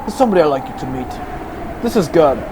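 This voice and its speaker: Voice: medium pitch, very animated, fast, background noise, close to an everyday voice. A performer playing a kindly, loyal, courteous talking reindeer in an audio play. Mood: excited. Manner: courteous, pleading.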